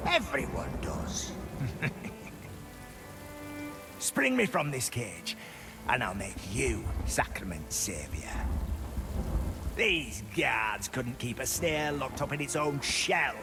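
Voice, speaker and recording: An actor playing a sly, taunting rebel prisoner who schemes and wheedles in a video game. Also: male voice, medium pitch, big pitch swings, takes it slowly, long pauses, over music. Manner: mocking, insinuating, wheedling. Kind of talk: video game character acting.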